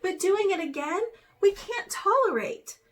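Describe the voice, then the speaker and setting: A woman's voice: extremely high pitch, lively intonation, unhurried, far from the mic, pitched above the performer's own voice. A woman voicing a judgmental, easily startled storybook hamster in an emphatic manner. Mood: stern.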